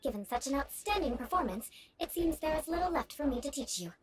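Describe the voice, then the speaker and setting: A woman's voice: high voice, very animated, fast talker, a loud crowd. A woman playing a poised young aristocratic knight in a video game, speaking formally and strictly with a teasing edge. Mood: approving. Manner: formal, composed, haughty instruction.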